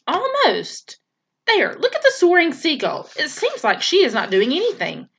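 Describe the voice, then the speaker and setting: Calm robot mama, talks all proper and even, lady reading it. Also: high voice, vocal fry, slight background noise, voice pushed up, exaggerated voice. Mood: instructive. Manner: plain measured formal speech. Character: patient female robot mother, calm and precise.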